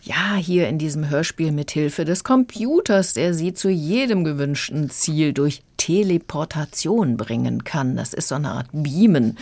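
Female radio critic, low register, measured explanatory delivery, dry sound. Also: expressive, darkish tone, no echo. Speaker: audiobook critic. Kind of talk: explanatory radio review in conversation.

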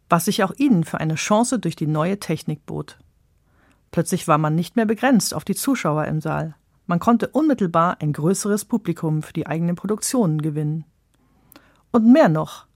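Casting: female radio presenter, lowish voice, close dry sound, measured scripted narration. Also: dry recording.

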